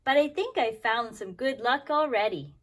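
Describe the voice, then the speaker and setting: Woman voicing an earnest, high-voiced young girl. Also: expressive, moderate pace. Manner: earnest, apologetic.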